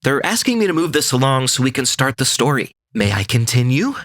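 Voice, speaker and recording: A man's voice: medium pitch, sing-song, fairly quick, fairly bright tone. A man playing a patient, awkward radio announcer in a comic audio drama, by turns hesitant and theatrical. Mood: placating. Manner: hesitant in dialogue, grandly theatrical when narrating. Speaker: narrator.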